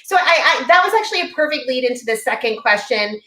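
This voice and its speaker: A woman hosts a live online panel, her slightly high voice ringing in an echoey room. She asks questions casually, pausing on frequent "um"s. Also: big pitch swings, pushed and bright. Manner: casual, with filler "um"s. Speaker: host.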